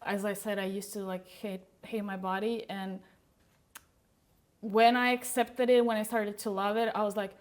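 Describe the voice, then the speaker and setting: A woman's voice: medium pitch, level tone, normal speed. A young woman, a podcast guest speaking candidly in casual conversation, full of "like" fillers.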